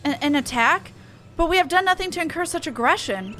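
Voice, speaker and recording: A woman's voice: very high voice, expressive, a little fast, pushed and bright, faint music behind, voice pushed up, exaggerated voice. Woman playing an earnest, wordy airship engineer. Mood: concerned. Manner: wordy, formal old-fashioned phrasing. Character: earnest, chatty airship engineer of a fantasy game. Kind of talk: game dialogue read aloud in character.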